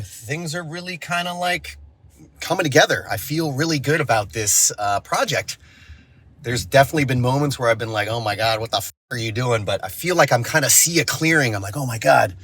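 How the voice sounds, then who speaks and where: A man's voice: medium pitch, bright, forceful tone. A man talking casually and informally in a behind-the-scenes vlog as a director and producer, a bit sniffly from allergies. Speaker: audio drama director and producer vlogging. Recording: faint background noise.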